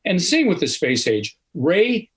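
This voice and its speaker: An older man with a slightly high voice talks as a scholar on a panel. He explains in an emphatic, storytelling manner over a video call from an indoor study. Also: big pitch swings, a little slow, fairly bright tone. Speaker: academic panelist.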